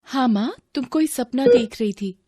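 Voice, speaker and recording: A woman's voice: fairly high pitch, moderate pace, heavy background noise, voice pushed up, natural voice. A woman voicing a worried ten-year-old girl in a horror story, anxious and questioning. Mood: worried, reassuring. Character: worried ten-year-old daughter soothing her mother. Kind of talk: Hindi horror story acting.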